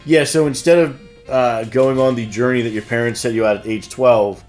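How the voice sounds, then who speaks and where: Guy hosting a chill news show, bit hesitant, normal pitch, chatty announcements, music behind him. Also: steady tone, normal speed, a little mellow. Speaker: host of a game shop news show. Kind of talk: casual banter while reading announcements.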